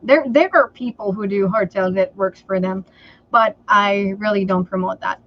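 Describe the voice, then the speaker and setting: A woman from the Philippines whose first language is Tagalog, giving sales advice in a livestream interview and often pausing on "okay" and "um". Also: medium pitch, dry recording, dull audio. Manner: slow and deliberate, many fillers like okay and um. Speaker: sales trainer guest.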